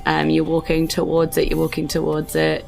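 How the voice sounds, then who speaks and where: A woman's voice: sits low, completely flat delivery, normal speed, vocal fry, a little mellow, soft background music. A woman with a New Zealand accent improvising tabletop game narration as game master, informal and descriptive with hesitant phrasing.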